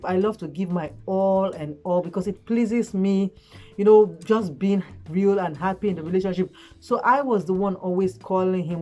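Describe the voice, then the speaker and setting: Hoarse woman, chatty. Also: mid-range voice, a little mellow. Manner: chatty, earnest, frequent fillers. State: hoarse from a cold. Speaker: relationship vlogger. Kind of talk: casual advice chat to camera. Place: at home late at night.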